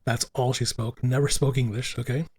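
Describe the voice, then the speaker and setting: A man's voice: medium pitch, flat delivery, moderate pace, darkish tone, breathy edge. A man whose first languages were Italian and Spanish, talking earnestly on a podcast and repeating himself for emphasis. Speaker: podcast co-host. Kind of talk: casual podcast chat.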